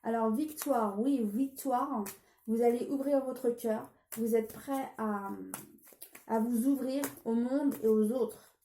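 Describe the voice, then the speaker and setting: A woman's voice: on the high side, fairly even intonation, slow, dark tone, echoey room, slight background noise. French-speaking woman reading tarot, with a cold. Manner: hesitant, many fillers and restarts. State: has a cold. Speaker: tarot card reader. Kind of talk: casual tarot reading vlog.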